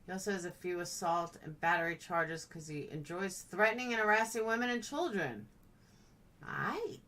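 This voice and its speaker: Woman streamer just chatting, kinda low voice, blunt n playful, sounds a bit away from the mic. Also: lively intonation, normal speed, sharp tone, recorded from a distance. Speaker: live-stream host. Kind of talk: casual live chat, reacting to comments.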